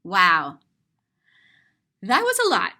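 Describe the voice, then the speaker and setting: A woman's voice: mid-range voice, wildly swooping pitch, drawn-out words, sharp tone. A woman reading a picture book aloud to children, playfully and expressively explaining what is in the pictures. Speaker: children's storybook reader, teacher. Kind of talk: animated read-aloud to children, describing pictures.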